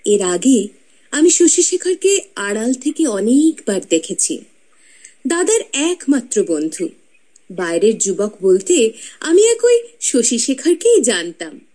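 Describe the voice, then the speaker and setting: A woman's voice: on the high side, sing-song, takes it slowly, dark tone, full character voice. An actress playing the ghost of a proud young woman, telling her life story in a radio drama with intimate, teasing narration. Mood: wistful.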